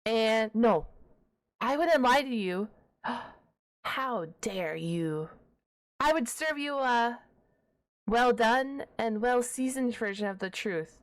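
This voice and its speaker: A woman's voice: on the high side, lively intonation, takes it slowly, pauses often. A woman playing a shy, nerdy half-dragon librarian in an ASMR roleplay, stammering and wordy, awkwardly defensive and a stickler for rules. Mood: flustered, pleading. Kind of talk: ASMR roleplay audio drama.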